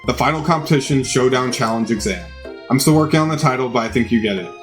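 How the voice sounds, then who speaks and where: A man's voice: mid-range voice, fast talker, soft background music, natural voice. A man voicing a small, pompous dog professor in a video game, speaking in a formal, wordy, announcing manner.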